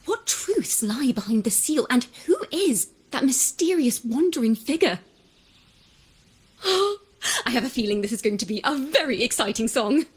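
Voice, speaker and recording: A friendly, excitable young woman from a video game. Her voice is high and airy, and she speaks in an expressive, theatrical, playful way. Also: measured pace, breathy edge, heavily acted. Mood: playful, curious. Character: friendly, excitable, dramatic young female musician.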